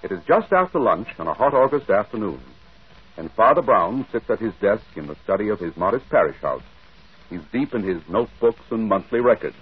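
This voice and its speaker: A man's voice: on the low side, big pitch swings, fairly quick. A man announcing and narrating an old radio drama in scripted style. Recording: muffled old radio broadcast. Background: music.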